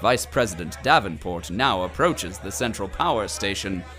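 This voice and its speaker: A man's voice: fairly low pitch, lively intonation, moderate pace, pushed and bright, faint music behind. A man acting as a grandiose corporate propaganda narrator in an audio drama, with a grand, unctuous dramatic delivery. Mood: ominous.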